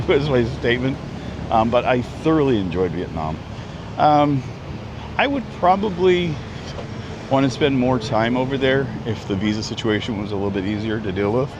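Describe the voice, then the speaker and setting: A man in his fifties from Canada, chatting casually on a live travel vlog, rambling with lots of ums and restarts. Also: on the low side. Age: 50s. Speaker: travel vlogger. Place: outdoors at a busy market street. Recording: street traffic noise outdoors. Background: traffic and street noise.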